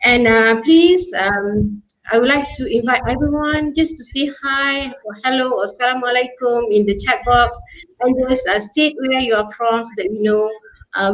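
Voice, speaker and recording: A woman's voice: fairly high pitch, fairly bright tone. A woman moderating an online webinar, peppering her talk with fillers and checks like "yeah?" and "right?". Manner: filler-heavy, often ends with "yeah?" and "right?". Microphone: video call. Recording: muffled online call audio.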